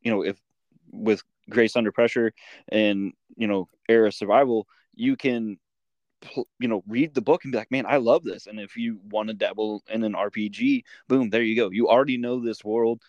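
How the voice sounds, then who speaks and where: A man's voice: on the low side, fairly even intonation, normal speed, darkish tone, no echo, highs cut off. A man hosting a podcast, chatting casually in an interview. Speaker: podcast host and comic maker.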